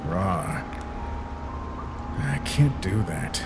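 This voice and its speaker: A man's voice: low voice, sing-song, drawn-out words, over loud music, full character voice. A man voicing a laid-back, guarded skeleton big brother in a fan comic dub, with a casual drawl. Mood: weary, earnest.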